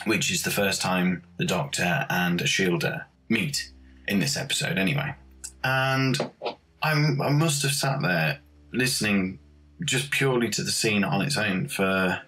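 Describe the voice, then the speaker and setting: A man's voice: fairly low pitch, bright, forceful tone, low-quality recording. A man, a composer, talking casually in an interview about his own work. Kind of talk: casual behind-the-scenes reminiscence.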